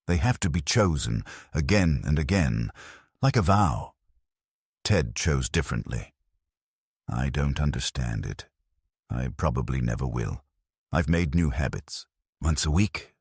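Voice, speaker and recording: An older man narrating a first-person story in a slow, measured and reflective way. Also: a bass voice, animated, husky, dry recording, clean studio sound.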